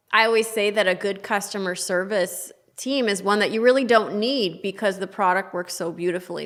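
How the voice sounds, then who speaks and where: A woman's voice: medium pitch, fairly bright tone. A woman hosting a podcast interview, speaking in an upbeat, eager way. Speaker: podcast host.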